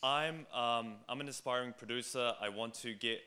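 A man's voice: mid-range voice, moderate pace, pushed and bright. A New Zealand man in his twenties asking a question from the audience in an eager, informal, sweary way. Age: 20s. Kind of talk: casual live question.

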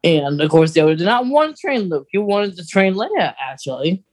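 Mid-pitched casual podcast co-host. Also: very animated, normal speed, a little mellow. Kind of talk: casual chat about Star Wars lore.

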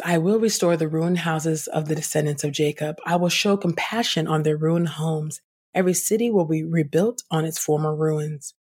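A woman reading scripture aloud as a podcast host, in a measured, declarative way. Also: deep voice, a little mellow, slightly rough.

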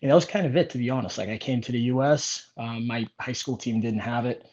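A man's voice: mid-range voice, fast talker, a little mellow. A man from Portugal, a native Portuguese speaker, telling his own story as a guest in a casual, rambling way. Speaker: interview guest, business owner. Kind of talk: casual chat, personal storytelling. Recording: slightly dull, lo-fi audio.

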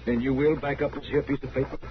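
An actor playing a shrewd, folksy homesteader with a rural American accent in an old radio western, speaking slyly. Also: male voice, medium pitch, level tone, fast, natural voice. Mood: sly, challenging. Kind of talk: old-time radio western drama acting. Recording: muffled old radio broadcast, faint noise.

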